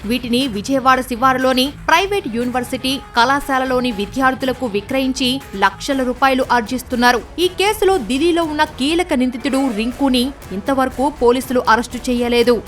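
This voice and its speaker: A woman's voice: high-pitched, brisk, fairly bright tone, far from the mic, faint music behind. A woman reads scripted TV news narration as a reporter.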